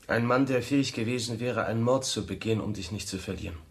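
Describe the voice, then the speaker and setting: Man acting an anguished young lover, halting. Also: on the low side, natural voice. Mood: earnest confession. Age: young adult. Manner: halting, repeats phrases, pleading. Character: young honest architect, anguished, self-doubting lover. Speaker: actor in a radio drama. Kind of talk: German radio play acting, intimate dialogue.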